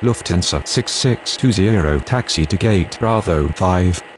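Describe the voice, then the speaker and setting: A man acts as a procedural airline radio voice in a game. He is low-pitched and formal, speaking in clipped set phrases. Faint noise sits under him. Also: animated, unhurried. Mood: businesslike. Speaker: pilot and air traffic controller voices.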